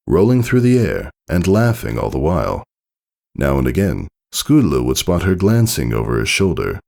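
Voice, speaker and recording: A man's voice: extremely low pitch, vocal fry, darkish tone. A man reading scripted storybook narration as the narrator of a piece of fiction.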